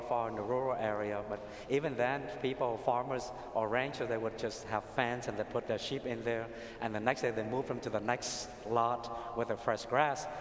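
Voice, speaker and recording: Middle-aged Vietnamese-accented priest preaching, unhurried storyteller; roomy cathedral with long reverb. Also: male voice, medium pitch, slight background noise. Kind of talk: sermon. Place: large cathedral.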